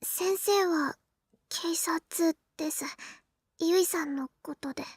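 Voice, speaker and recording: A woman voicing a small, gentle young girl who speaks politely and hesitantly in a visual novel. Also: very high-pitched, moderate pace, dry recording, studio quality. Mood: subdued. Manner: hesitant, soft-spoken, polite. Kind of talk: Japanese visual novel voice acting.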